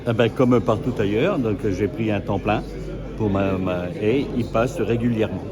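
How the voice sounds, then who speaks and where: A man's voice: lowish voice, normal speed. A man giving brief, plain interview answers as a local mayor. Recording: clear crowd chatter behind the voice. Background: crowd chatter.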